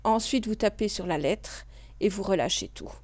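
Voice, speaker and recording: A woman narrates a computer tutorial, walking through each step in a patient, methodical way. Her voice sits at a middle pitch and is recorded dry and close to the mic, with no room sound. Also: expressive, moderate pace, darkish tone, no echo. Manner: patient, step-by-step, with small restarts and fillers. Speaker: computer class instructor.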